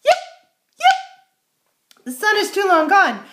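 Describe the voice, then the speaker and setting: Excitable, high-pitched clever fox. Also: female voice, noisy background. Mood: eager, boastful. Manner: quick, urgent yipping. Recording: roomy, echoing space.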